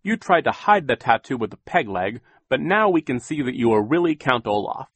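A man acts out a pompous, indignant storybook gentleman who lays down the law. He scolds sternly and emphatically in a forceful, animated voice, raised somewhat in pitch and very dry. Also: moderate pace, husky, no room sound. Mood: firm, reproving. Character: stern, fussy, indignant gentleman banker in authority. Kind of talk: audiobook character voice.

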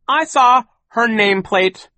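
A man voicing a gruff, impatient male boss in an audiobook story, barking dismissively and emphatically. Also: extremely high pitch, lively intonation, measured pace, pushed and bright, dry recording, voice pushed up, full character voice.

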